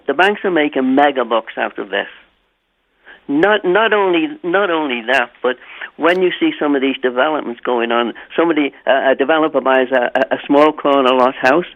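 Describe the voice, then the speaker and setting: An older man phones in to a talk radio show. His gravelly voice comes through a thin, tinny phone line, and he talks haltingly, restarting his sentences as he goes. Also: mid-range voice. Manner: halting, with many restarts and fillers. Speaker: caller.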